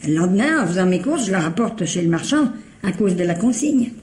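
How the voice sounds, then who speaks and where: An actress playing a good-natured, plain-spoken household cook, colloquial and full of interjections, in a French radio mystery. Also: female voice, medium pitch, expressive, a little fast, a little mellow, slight background noise, close to an everyday voice. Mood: chatty, matter-of-fact. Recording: roomy, echoing sound.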